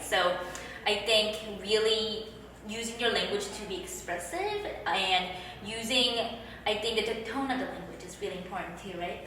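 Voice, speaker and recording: A woman's voice: mid-range voice, sharp tone, slight background noise. A woman hosting an interview, upbeat and encouraging, chatty with frequent fillers, recorded from a distance in a room. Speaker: host. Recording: echoey room from far off.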